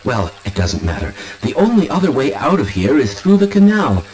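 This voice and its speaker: Jumpy, frightened man, swooping pitch. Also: wildly swooping pitch, moderate pace, darkish tone, slight background noise, rough recording. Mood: fearful, urgent. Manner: urgent, curt. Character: frightened, jumpy man hiding during a disaster.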